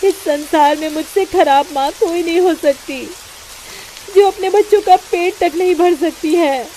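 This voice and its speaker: A desperate young mother pleads in a high, tearful voice, voiced by a woman. The tone stays soft and mellow. She belongs to an animated storybook cartoon. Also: a little slow, a little hiss or hum, poor audio. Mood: despairing.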